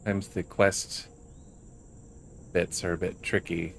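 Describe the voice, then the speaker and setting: A man's voice: low voice, little pitch movement, measured pace, creaky, a little hiss or hum. A man giving casual commentary as he plays a video game. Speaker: gaming video host. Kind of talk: casual gameplay commentary, partly reading game dialogue aloud.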